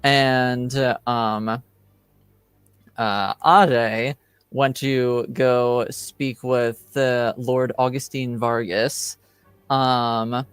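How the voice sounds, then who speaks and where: Guy running a ttrpg, talks real slow w lots of pauses, smooth clear voice, faint music behind. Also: mid-range voice, steady tone, no room sound. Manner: measured, halting, pausing between phrases. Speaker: game master and host. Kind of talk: casual recap narration of a tabletop game.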